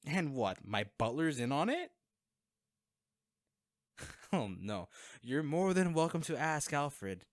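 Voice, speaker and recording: A man's voice: fairly high pitch, big pitch swings, slow, pauses often, no echo. A man playing a guarded, wealthy vigilante in an audio drama, speaking in a curt, sarcastic and threatening way to the listener. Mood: mocking.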